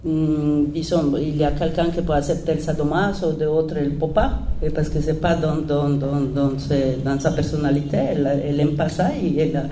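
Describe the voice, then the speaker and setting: A woman who is not a native French speaker, talking frankly and assertively as a guest on a public panel. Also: deep voice. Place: large echoey hall. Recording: roomy hall recording with noise.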